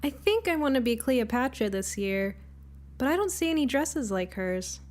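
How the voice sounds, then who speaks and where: A woman's voice: high voice, sing-song, moderate pace. A woman voicing an eager young schoolgirl with an earnest, pleading manner in a short story. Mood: wistful. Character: eager young schoolgirl longing to dress as royalty.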